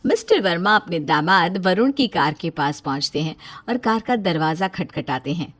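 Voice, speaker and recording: A woman's voice: lowish voice, animated, moderate pace. A woman narrating a scripted comic story as a storyteller.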